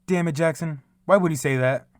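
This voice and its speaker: A man's voice: fairly high pitch, brisk, dry recording, studio quality, lightly acted. A man playing a big, goofy, boastful young friend in an audio drama. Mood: griping. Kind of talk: audio fiction dialogue.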